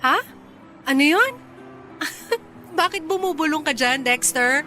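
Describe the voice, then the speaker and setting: An actress playing a proud, easily irritated but bashful young office boss in a Filipino radio drama, with a Filipino accent. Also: female voice, high-pitched, animated, takes it slowly, pushed and bright, soft background music, full character voice. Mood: flustered. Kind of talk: radio drama acting in Taglish.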